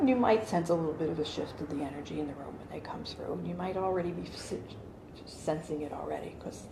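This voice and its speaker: Woman in her 40s chatting to a few people in her living room, lowish voice, lots of ums, roomy sound with a faint hum. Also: vocal fry. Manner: chatty, many fillers and restarts, soft laughs. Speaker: channeler. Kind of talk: casual explanation to a small group.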